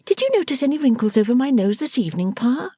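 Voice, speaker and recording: Woman reader putting on a coaxing young woman, high and bouncy, husky edge. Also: big pitch swings, fast, dark tone, dry recording, clean studio sound, heavily acted. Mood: playful teasing. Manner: coaxing, emphatic and theatrical. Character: playful young woman, teasing and mercenary.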